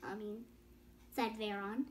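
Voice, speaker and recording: A woman's voice: mid-range voice, steady tone, takes it slowly, slight background noise. A young girl reading a bedtime story aloud with casual explanatory asides, stumbling over words and rereading phrases. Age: child.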